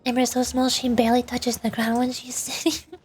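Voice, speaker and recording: Female VTuber streamer, high voice, casual halting commentary over faint music. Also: completely flat delivery, a little mellow. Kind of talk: livestream read-aloud of game dialogue with casual commentary.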